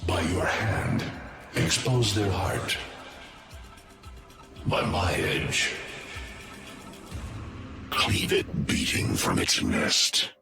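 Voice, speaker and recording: A man's voice: low-pitched, sing-song, very slow, gravelly, pauses often, full character voice. A man playing a menacing ancient sentient machine in a video game, declaiming in a grand, commanding way. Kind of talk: dramatic video game cutscene acting. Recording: echoing voice under very loud music.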